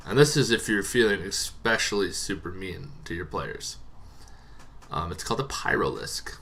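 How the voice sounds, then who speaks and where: A man hosting a tabletop roleplaying show, explaining casually and off the cuff in a rambling, halting way that repeats words. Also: deep voice, animated, vocal fry, pushed and bright. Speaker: host of a tabletop roleplaying monster lore show. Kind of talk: casual unscripted explainer to camera.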